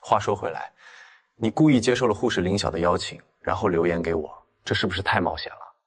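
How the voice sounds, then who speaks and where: An actor playing cool, restrained, clever young men in a detective drama for an animated comic. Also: male voice, deep voice, fairly quick, breathy edge. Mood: serious.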